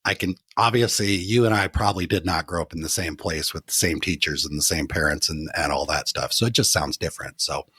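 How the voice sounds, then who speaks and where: Husky-voiced male podcast host. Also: sits low, fairly quick, hardly any pauses, pushed and bright, no room sound, clean studio sound. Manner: candid, playful, acts out quoted lines. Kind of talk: casual interview chat.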